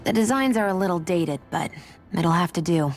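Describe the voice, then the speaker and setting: Cool young female warrior, pragmatic, matter-of-fact, video game, lower register, clear background music. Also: lively intonation, normal speed. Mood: composed. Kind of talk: video game cutscene voice acting. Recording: music behind the voice. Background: game soundtrack music.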